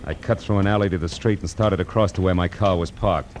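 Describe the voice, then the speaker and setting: A tough American private eye acted by a man from a 1940s radio noir play, speaking low and clipped. He is sardonic and slangy, and music runs with him on the vintage recording. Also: fairly even intonation, a little fast, natural voice. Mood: dry narration. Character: tough, wisecracking male private detective, hard-boiled narrator.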